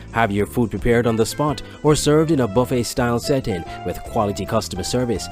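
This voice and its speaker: A male announcer reads a scripted commercial. His pitch is average for a man, and music plays softly behind him. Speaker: advertising announcer. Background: background music.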